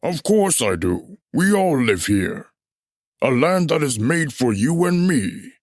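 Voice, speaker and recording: A smug, dismissive patriotic official played by a man in a puppet show. He talks slowly with big swings of pitch. The sound is dry. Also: big pitch swings, no echo. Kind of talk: theatrical puppet show acting.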